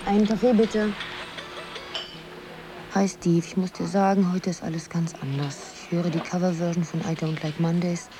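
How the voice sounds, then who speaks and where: A woman's voice: lowish voice, steady tone, moderate pace, close to an everyday voice. An actress playing a dreamy, playful young woman who is searching, in a poetic radio drama monologue. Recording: loud music or noisy ambience behind.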